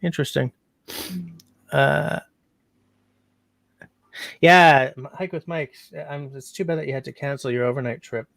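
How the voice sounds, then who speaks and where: A man's voice: medium pitch, unhurried, creaky, long pauses, fairly bright tone, no echo. A man hosting a casual livestream, meandering as he reads out chat comments. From Canada. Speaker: livestream host.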